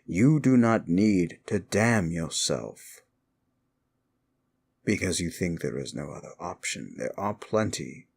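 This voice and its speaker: A sly male demon played by a man speaks in a low voice and takes his time with every phrase. He is a wicked manipulator in an intimate ASMR audio roleplay, teasing his listener with condescending ease. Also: animated, slow, gravelly, darkish tone, slightly rough, exaggerated voice. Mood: sly, brooding.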